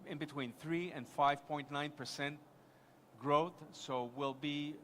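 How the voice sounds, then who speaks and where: A man speaking as an airport executive at a live conference panel, halting and restarting his sentences. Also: fairly high pitch, fairly even intonation, pushed and bright, slight background noise. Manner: halting, many restarts and fillers.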